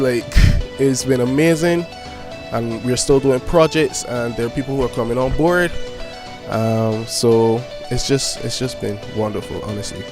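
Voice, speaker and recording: Eager young man over music. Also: mid-range voice. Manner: eager, rambling, many fillers. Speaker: youth media founder and poet. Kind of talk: casual interview answers. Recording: clear music playing behind.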